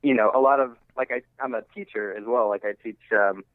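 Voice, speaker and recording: A man giving a casual radio interview as a musician, talking informally and haltingly, working out each thought as he goes. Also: mid-range voice, no room sound. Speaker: interviewed singer-songwriter and music teacher. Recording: thin phone-line sound.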